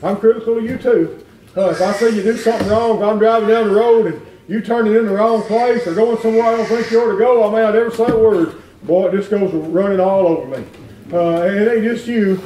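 A middle-aged man preaching a folksy revival sermon in a church, climbing into shouts. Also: sits high, fairly quick, distant mic, slight background noise. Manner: folksy, plain-spoken, rises to shouting. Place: wood-paneled church sanctuary. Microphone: far room camera. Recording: echoey church heard from afar.

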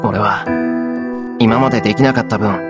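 A man's voice: on the low side, steady tone, moderate pace, natural voice. Man voicing an earnest teenage anime boy over music. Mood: earnest, resolute. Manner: sincere, earnest. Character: earnest, kind teenage boy, plain-spoken. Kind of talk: Japanese visual novel voice acting.

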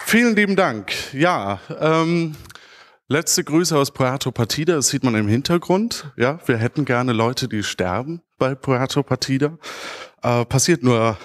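A man speaks at a medium pitch in an informal conference presentation. He talks as a speaker explaining his own project, searching a little for his words. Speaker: conference speaker, podcast creator. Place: large auditorium stage.

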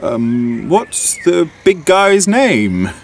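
An actor playing a shy, awkward man in his late sixties in an audio drama, hesitant and gently humorous. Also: fairly high pitch, big pitch swings, very slow, background noise, full character voice. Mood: awkward, friendly. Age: older. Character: shy, awkward 68-year-old widowed retired teacher.

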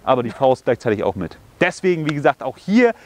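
A man in his thirties with a slight cold, teaching self-defense outdoors and punctuating moves with "boom" and "bam". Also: fast. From Germany. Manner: energetic, explains with sound words like boom and bam. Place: outdoors on a grassy park slope.

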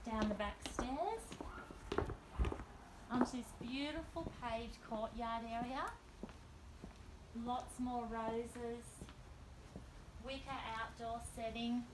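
Brief: young Australian woman, bright higher voice, enthusiastic house-tour presenter, phone recording with room echo and background noise. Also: takes it slowly, long pauses. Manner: enthusiastic, admiring, short listing phrases. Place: house and garden, indoors and outdoors. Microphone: handheld phone camera. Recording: echoey rooms, noisy outdoors.

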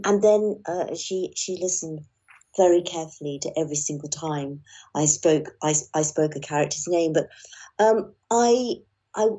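A woman's voice: fairly low pitch, mellow, husky. A woman speaking thoughtfully and hesitantly as an audiobook narrator being interviewed. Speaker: audiobook narrator, podcast guest. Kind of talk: reflective interview talk.

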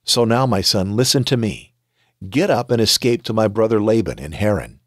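A man's voice: fairly low pitch, animated, moderate pace, dry recording, studio quality, close to an everyday voice. A man reading aloud the words of a worried, protective mother in a scripture reading. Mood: urgent.

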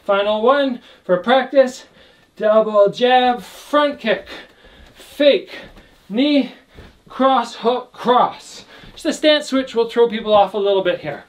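A man's voice: very high voice, slow pace. A young man teaching a kickboxing workout, calling out combinations while he demonstrates the moves in a small indoor room. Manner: calls out combos while demonstrating, energetic. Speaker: kickboxing instructor. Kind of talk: workout instruction. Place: indoor room with trophy shelves.

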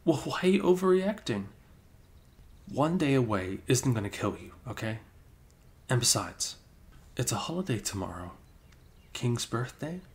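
A man doing an improvised ASMR roleplay as a best friend, soft-spoken and hesitant, slipping into whispers, close to the microphone. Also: medium pitch, sing-song, unhurried, pauses often.

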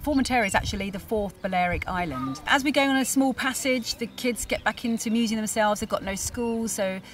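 A woman's voice: on the high side, lively intonation, fairly quick, soft background music. A woman in her forties presenting a sailing vlog in a casual, chatty style, recorded outdoors on a camera. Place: outdoors at a harbour and on a sailboat. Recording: distant mic, noisy outdoor boat ambience.

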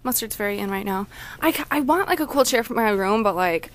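A young woman chatting casually as she films her own shopping vlog in a large store, chatty and quick to laugh. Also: medium pitch, expressive. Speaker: vlogger. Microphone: handheld camera.

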